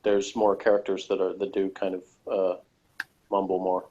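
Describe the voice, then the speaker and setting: A man's voice: sits low, completely flat delivery, moderate pace, dark tone, dull audio. An American man in casual discussion, rambling a little and hesitant, speaking plainly. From United States. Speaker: podcast reviewer.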